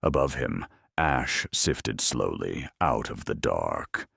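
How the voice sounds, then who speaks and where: An extremely low-voiced man delivers dramatic scripted narration of a grim battle tale. His voice is thick with gravel and creak, and he takes his time, pausing heavily between phrases in a dry, close recording. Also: very animated, fairly bright tone, no room sound. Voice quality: very deep gravelly growl. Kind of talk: dramatic scripted narration of a grimdark war story.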